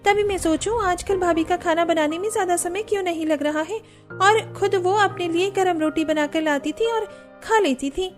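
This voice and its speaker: A woman's voice: very high voice, normal speed, soft background music, pitched above the performer's own voice. A woman voicing an aggrieved young woman in an Indian family cartoon, complaining insistently. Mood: suspicious, complaining. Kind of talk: acted cartoon story dialogue.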